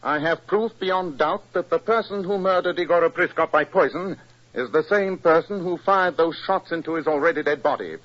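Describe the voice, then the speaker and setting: An actor playing an incisive, proud English detective with a British accent, precise and emphatic, in 1940s radio style. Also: male voice, on the high side, normal speed, close to an everyday voice. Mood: triumphant, accusing. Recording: dull 1940s radio recording.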